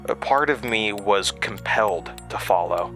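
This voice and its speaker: An actor playing a haunted man recounting a terrifying memory in a horror audio drama, halting and hesitant. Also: fairly low pitch, bright, forceful tone, faint music behind. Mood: haunted, tense. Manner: halting, hesitant, with stammered restarts.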